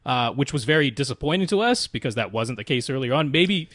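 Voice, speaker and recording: A man with a mid-range voice speaks as a podcast co-host. He explains things in a casual, measured way, qualifying his points as he goes. The recording is dry, with little room sound. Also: medium pitch, expressive, fairly bright tone, no echo.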